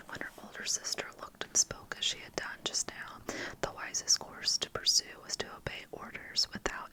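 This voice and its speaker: A narrator reading a classic children's novel aloud in a soft, steady whisper. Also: rough recording. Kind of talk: whispered ASMR story reading.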